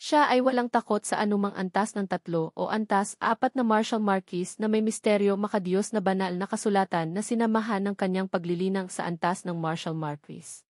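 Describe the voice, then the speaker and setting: A woman's voice: medium pitch, steady tone, brisk, darkish tone, dry recording, studio quality. A woman reading scripted story narration in the manner of an audiobook. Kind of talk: scripted audiobook-style narration of a web novel.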